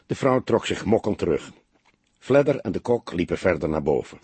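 Very husky male audiobook narrator. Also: sits low, very animated, normal speed, a little mellow, no echo. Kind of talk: scripted dramatic story narration.

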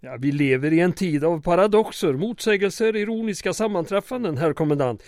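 A man's voice: sits high, lively intonation, darkish tone, no echo. A man playing a flustered, wordy and evasive small-town mayor in an old-time radio comedy drama. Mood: smooth flattery. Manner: wordy, formal, stammering when flustered.

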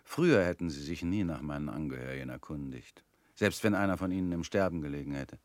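An actor playing a bitter former convict in a radio play, talking in a measured, brooding way. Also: male voice, rumbling low, a little mellow, dry recording, clean studio sound. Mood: probing.

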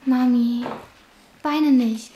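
High-voiced, dutiful teenage daughter. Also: female voice, fairly even intonation, extremely slow, highs cut off, a little hiss or hum. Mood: soothing. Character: gentle, dutiful thirteen-year-old daughter in wartime. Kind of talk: audio drama acting.

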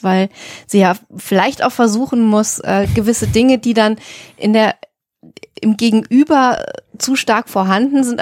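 A woman's voice: medium pitch, no room sound, studio quality. A woman co-hosting a podcast in conversational discussion. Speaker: podcast co-host.

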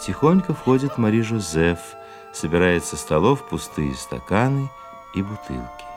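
A male narrator sets scenes for an audio drama. His voice is exceptionally deep, with lively, varied intonation, and music plays clearly beneath it. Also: a little slow. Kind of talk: scripted scene-setting narration for radio play. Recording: music under the voice.

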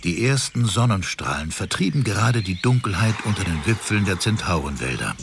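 A man's voice: deep voice, big pitch swings, fairly quick, gravelly, noisy background. A man reading scripted dramatic narration in a fantasy audio drama. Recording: loud music and effects under voice.

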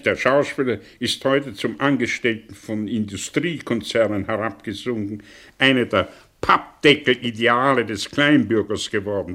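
An actor playing an older, sardonic, brilliant fallen intellectual in a German radio drama, speaking theatrically with rhetorical pauses and swells. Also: male voice, lowish voice, fairly bright tone. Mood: agitated, bitter. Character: aging, theatrical, sardonic man, brilliant fallen intellectual confessing his past.

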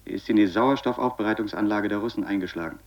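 An actor playing an uneasy, stubborn astronaut who reports tersely and haltingly over an intercom in a German science-fiction radio play. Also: male voice, lowish voice. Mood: grave, reporting. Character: uneasy, stubborn astronaut exploring a wrecked asteroid station. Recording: dull, lo-fi radio sound.

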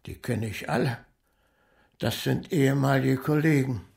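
An actor playing a lonely, guilt-laden retired estate agent in old age, hesitant and reflective, in a radio drama. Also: male voice, medium pitch, unhurried, gravelly, a little mellow. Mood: heavy, confessing. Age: elderly.

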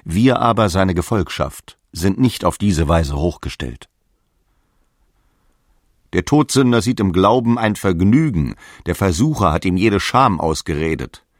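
A deep, slow, stern sect zealot. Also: male voice, lively intonation, dry recording, studio quality, heavily acted. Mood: stern, grave. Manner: slow, preaching, severe. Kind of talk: solemn radio drama monologue.

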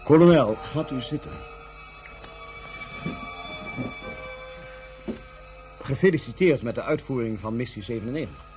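An actor playing a composed, calculating ruler on Mars who speaks formally and deliberately, with small hesitations, in a radio drama. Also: male voice, medium pitch, animated, mellow, faint music behind, natural voice. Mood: formal, commanding. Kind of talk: Dutch science fiction radio drama acting.

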